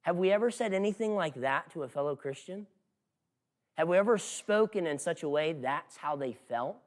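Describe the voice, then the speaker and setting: A man's voice: fairly high pitch, expressive, normal speed. A man preaching an expository sermon in an earnest, exhorting manner, emphatic and given to rhetorical questions. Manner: emphatic, swings from hushed to loud.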